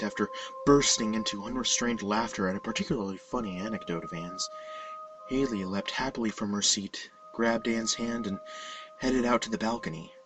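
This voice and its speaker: A man reading a scripted horror story as narrator, in a measured, dramatic storytelling manner. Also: fairly low pitch, far from the mic, poor audio. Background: music.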